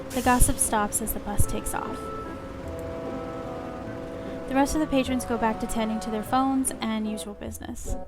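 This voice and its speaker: A woman reading a visual novel aloud as expressive first-person story narration. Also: a little high. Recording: music under the voice. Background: clear background music.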